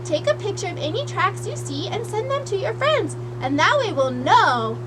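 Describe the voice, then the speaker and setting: A woman voicing a gentle, reassuring female duck in a children's puppet show, explaining things patiently and simply. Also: extremely high pitch, sing-song, moderate pace. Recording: noisy amateur puppet show recording.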